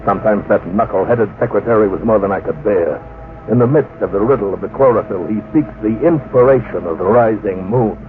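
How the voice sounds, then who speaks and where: An actor as deep-voiced, sardonic expedition narrator. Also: male voice, a little fast, a little hiss or hum, natural voice. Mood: dry, sardonic. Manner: dry, sardonic delivery. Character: weary, sardonic man narrating an expedition adventure. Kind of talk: old-time radio drama narration and acting. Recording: muffled old radio recording, music behind.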